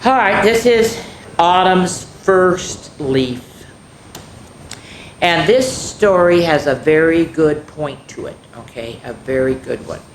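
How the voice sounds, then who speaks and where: A woman reads a children's picture book aloud with lively, animated delivery. She breaks off for friendly asides to the young listeners. The room gives her voice a roomy echo. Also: deep voice, slow, slight background noise. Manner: animated, chatty asides to kids. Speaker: children's storyteller.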